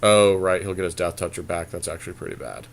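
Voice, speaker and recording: A man with a fairly low voice commentates on a card game he is playing. He talks casually, reasoning through each move aloud, with joking, salty language. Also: steady tone, fast, gravelly. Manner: profane, joking; deliberating aloud, thinking through plays. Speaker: podcast co-host playing a card game draft.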